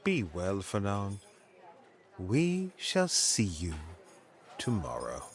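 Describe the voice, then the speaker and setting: Sly schemer, wildly varied pitch. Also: male voice, wildly swooping pitch, slow, noisy background, heavily acted. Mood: sly, coaxing. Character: scheming smooth-talking man, outwardly friendly, Danglars. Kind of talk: audio drama acting.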